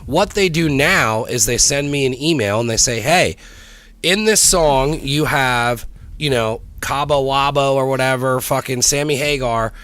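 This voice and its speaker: A man's voice: mid-range voice, unhurried, fairly bright tone, no echo. A man in his thirties hosting a casual podcast chat, rambling through stories and acting out what people said. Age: 30s. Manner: rambling storyteller, acts out quotes, swears casually.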